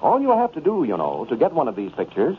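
A man's voice: fairly high pitch, wildly swooping pitch, quick pace. A man with an American accent announcing in the scripted advertising style of 1930s radio. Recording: noisy, tinny old radio recording.

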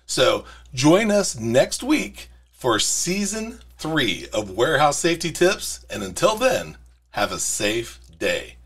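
Husky-voiced male podcast host. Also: medium pitch, big pitch swings, slow pace, fairly bright tone. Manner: earnest, direct to camera. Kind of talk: scripted safety podcast monologue. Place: small studio with brick wall. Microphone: studio mic on arm.

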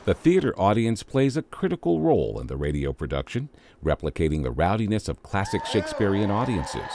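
A man's voice: deep voice, big pitch swings, fairly quick, creaky, noisy background. An American man reading scripted narration for a promotional documentary.

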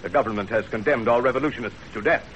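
A man's voice: mid-range voice, rapid-fire, fairly bright tone, lo-fi sound, lightly acted. An actor playing a stern, wealthy Chinese banker father, commanding and urgent, in a 1930s radio drama. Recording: noisy old 1930s radio recording.

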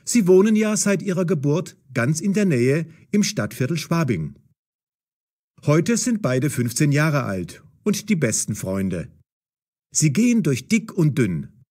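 A man's voice: on the high side, very animated, a little slow, a little mellow. A man narrating a scripted story for language learners in short, dramatic sentences.

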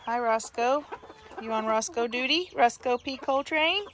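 A woman's voice: fairly high pitch, a little slow, a bit bright, a little hiss or hum. An older woman chatting casually as she checks on her backyard chickens, greeting the hens in a sing-song voice. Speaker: backyard chicken keeper, vlogger. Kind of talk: casual walk-through chat. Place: outdoors at a backyard chicken coop. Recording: outdoor recording with clear clucking hens. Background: chickens clucking.

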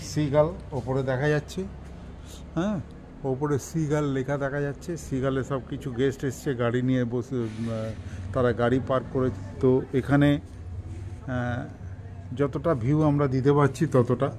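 A man's voice: mid-range voice, steady tone, a little slow, darkish tone, slight background noise. An older man casually narrating a walkthrough of a hotel room and grounds while filming on a phone, talking to viewers as friends. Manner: chatty, addresses viewers as friends. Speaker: travel vlogger showing a hotel. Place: hotel room and garden, indoors and outdoors.

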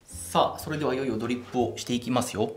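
A man's voice: mid-range voice, racing. A young man hosting a coffee channel, chatting enthusiastically as he demonstrates brewing in a small home café room. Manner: enthusiastic, chatty, laughs while explaining. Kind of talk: casual how-to demonstration. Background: background music.

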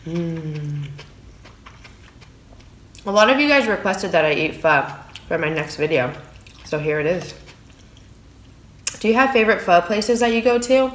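A woman's voice: lowish voice, measured pace, long pauses, reverberant, poor audio. A woman in her thirties from San Francisco, chatting casually in a food vlog while eating at her dining table, recorded on a phone. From San Francisco, USA. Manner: relaxed, chatty, talking between bites of food. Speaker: food vlogger. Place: small room at home, indoors at a dining table. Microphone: phone camera on the table.

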